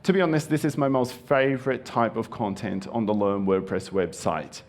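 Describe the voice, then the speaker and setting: A man's voice: mid-range voice, normal speed, a little mellow. A young man, a native Japanese speaker raised in Japan, giving an earnest, friendly conference presentation with frequent ums. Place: conference hall.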